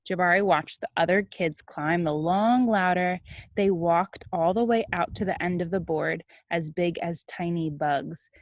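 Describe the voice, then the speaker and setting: Woman teacher doing storytime for little kids, lowish voice, dry close sound, expressive n chatty. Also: a little slow, dry recording, muffled recording. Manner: animated, expressive storytelling with casual asides. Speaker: kindergarten teacher. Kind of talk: read-aloud storytime for young children.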